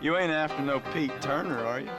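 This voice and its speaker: An actor playing a sly, grasping, sardonic saloon musician in a western video game. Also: male voice, on the high side, brisk, close to an everyday voice. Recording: loud guitar music underneath.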